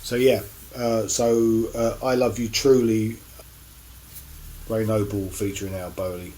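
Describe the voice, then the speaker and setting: Low-voiced man, halting and casual. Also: flat delivery, warm tone, poor audio. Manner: halting, rambling delivery with many pauses. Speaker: record collector presenting finds on his channel. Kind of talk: casual unscripted show-and-tell to camera.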